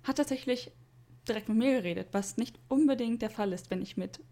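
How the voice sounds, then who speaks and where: A woman's voice: a little high, fairly quick, dark tone. Young woman, casual chatty storyteller, quotes others, light laughs. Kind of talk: casual chat.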